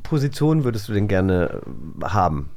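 A man's voice: on the low side, very animated, normal speed, darkish tone. A man co-hosting a podcast in casual chat, with a teasing, bantering manner. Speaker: podcast co-host.

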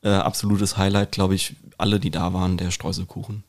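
A man's voice: deep voice, level tone, a little fast. A man chatting casually as a podcast co-host, explaining things in an anecdotal way. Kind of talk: casual chat.

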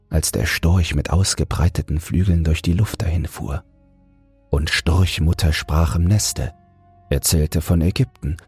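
A man reads a bedtime story in a very breathy, low voice. His delivery is slow, gentle and soothing, recorded close and dry over music. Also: no echo. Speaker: audiobook narrator and podcast host. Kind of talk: soothing bedtime fairy-tale narration.

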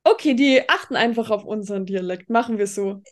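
A woman's voice: medium pitch, fairly quick. A woman with a Tyrolean Austrian accent, strongly rolled R and throaty K, chatting casually as a podcast co-host. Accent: Tyrolean Austrian German.

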